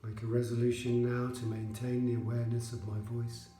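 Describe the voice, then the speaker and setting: A man leading a guided yoga relaxation as an instructor, speaking in a measured, soothing manner with pauses. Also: medium pitch, level tone, dark tone, roomy sound, a little hiss or hum. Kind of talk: guided relaxation meditation narration.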